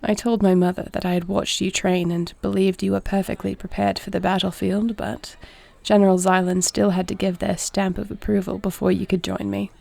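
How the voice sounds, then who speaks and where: A woman playing a duty-bound, caring warrior princess in an audio roleplay, speaking to the listener in a formal, measured, creaky voice. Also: medium pitch, flat delivery. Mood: measured, explanatory. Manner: formal, measured, soft-spoken speech.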